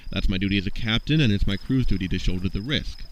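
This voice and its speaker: An actor playing a grave male Starfleet admiral, with a measured, formal delivery. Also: fairly low pitch, slight background noise, natural voice, poor audio. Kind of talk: fan audio drama acting.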